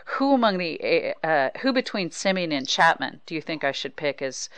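A woman's voice: deep voice, sing-song, normal speed, a bit bright, clean tone, dry recording. An American woman hosting a casual sports interview, chatting about baseball as a reporter. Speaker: podcast host and baseball reporter.

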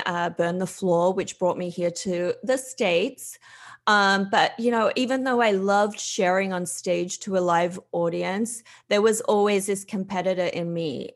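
A woman from Australia with a light Australian accent, telling her story as a podcast guest in a chatty, unhurried way. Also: mid-range voice. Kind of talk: casual podcast interview.

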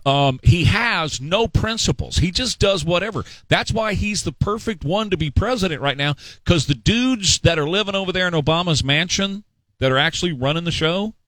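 Man, middle-aged, talk host, opinionated commentary, sarcastic, emphatic, fairly high voice, dry recording. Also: fairly bright tone, no echo, low-quality recording.

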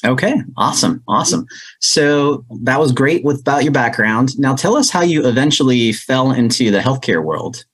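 A man's voice: medium pitch, animated, normal speed, a bit bright, breathy edge. A man hosting a podcast, moving between scripted introduction and conversational interviewing. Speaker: podcast host.

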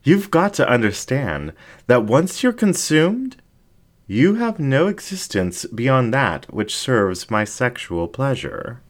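A man voicing a seductive, haughty fox incubus ringmaster in a story, with a drawn-out, theatrical, teasing delivery. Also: medium pitch, sing-song, pitched above the performer's own voice. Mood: menacing, seductive.

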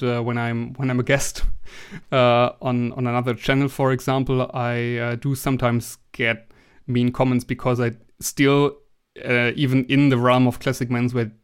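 A young man from Germany speaks English with a German accent in a relaxed interview. His voice is smooth and clear at a middle pitch, and he often pauses, restarts and fills gaps as he searches for words. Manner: hesitant, many restarts and fillers. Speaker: guest. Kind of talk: casual interview conversation.

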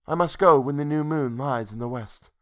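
A man's voice: mid-range voice, normal speed, pitched above the performer's own voice, natural voice. Man voicing a dreamy, wistful young woman in love. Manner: timid, sighing, wistful. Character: dreamy, frail young woman in love, foretelling her death. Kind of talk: literary audiobook character dialogue.

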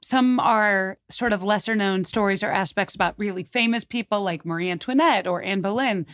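A woman's voice: medium pitch, normal speed, fairly bright tone, dry recording, studio quality. A woman podcaster talking in a casual, conversational way. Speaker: podcast host and guest.

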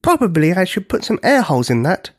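A boisterous, eager boy giant from a children's storybook, put on by the narrator. He is pitched up and loud, with lively swoops in his delivery. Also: fast, slightly rough. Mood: offhand. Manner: loud, whooping.

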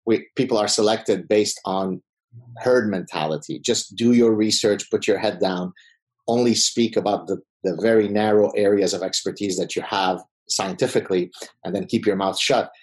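Confident low-voiced man, combative and wry. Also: fairly even intonation, moderate pace. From Middle East. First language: Arabic. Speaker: podcast guest, professor. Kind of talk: interview answers.

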